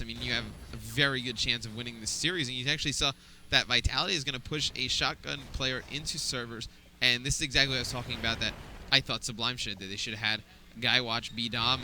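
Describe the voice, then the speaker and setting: A man's voice: medium pitch, fairly even intonation, fast talker, pushed and bright, slight background noise. A young adult man giving analytical esports color commentary, thinking aloud with fillers. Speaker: esports caster.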